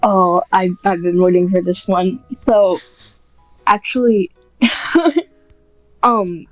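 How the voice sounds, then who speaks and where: A woman chats casually as an interview guest, modest and hesitant. Her delivery is very unhurried. The sound is close and dry but a little dull, with faint music underneath. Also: lowish voice, fairly even intonation, dry recording. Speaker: podcast guest, script writer.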